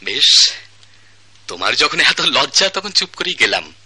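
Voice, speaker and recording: An actor playing a contented elderly husband who talks tenderly and poetically, in a radio drama. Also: male voice, a little high, very animated, unhurried, sharp tone, breathy edge, full character voice. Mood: fond. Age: older.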